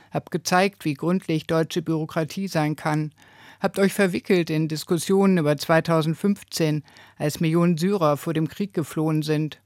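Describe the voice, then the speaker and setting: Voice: medium pitch, a little mellow, dry recording, clean studio sound. A radio preacher delivering a scripted devotional in an earnest, emphatic way, with rhetorical questions and short phrases.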